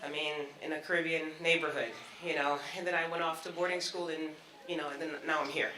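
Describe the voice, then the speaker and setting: A woman's voice: sits low, fairly even intonation, fast, pushed and bright, echoey room, poor audio. A woman from Barbados, raised in Brooklyn, asking a rambling, chatty question from the audience and laughing often. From Barbados, raised in Brooklyn, New York. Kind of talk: casual question from the floor.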